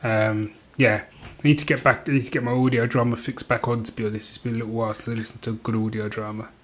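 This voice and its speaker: A man's voice: mid-range voice, level tone, fast talker. A man chatting casually as a podcast co-host in an informal, easygoing, agreeable way.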